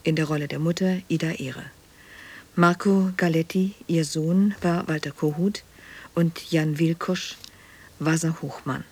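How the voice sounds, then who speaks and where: A woman's voice: low voice, fairly even intonation, measured pace, a little mellow, slightly rough. A woman radio announcer reading a formal scripted announcement.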